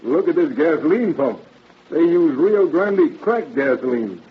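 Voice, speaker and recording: Easygoing cop sidekick, very husky, lively pitch, slangy, on a muffled vintage radio recording. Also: male voice, measured pace, full character voice. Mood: chatty. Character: easygoing, slangy male police detective sidekick. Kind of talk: 1930s radio acting. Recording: dull old radio recording.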